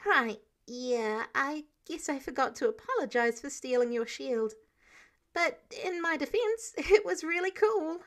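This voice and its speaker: Woman voicing an eager, high-pitched teenage superhero boy. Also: expressive, a little slow. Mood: sheepish. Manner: breathless rambling chatter. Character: eager, rambling fifteen-year-old boy superhero, awkward and earnest. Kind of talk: fan fiction audiobook character voice.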